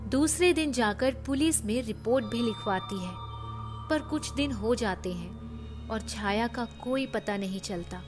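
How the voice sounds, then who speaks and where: A woman narrates a horror story in a fairly high voice. Her delivery is dramatic and measured, as a scripted storyteller, with music clearly playing behind her. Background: background music.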